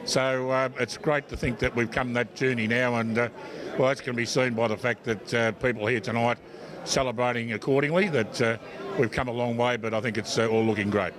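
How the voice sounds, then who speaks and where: A man's voice: mid-range voice, steady tone, a little fast. Australian man over a busy crowd. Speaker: club chairman, interviewee. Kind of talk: informal celebratory interview. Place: entertainment venue during a party. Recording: loud party crowd behind.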